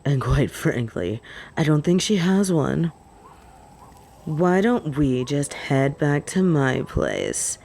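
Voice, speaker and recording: A woman performs a flirtatious, possessive werewolf woman for a roleplay audio drama. The voice sits low and moves slowly in a teasing drawl, sure of itself, with faint noise behind it. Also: sing-song, gravelly, dark tone, heavily acted. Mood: smug, coaxing.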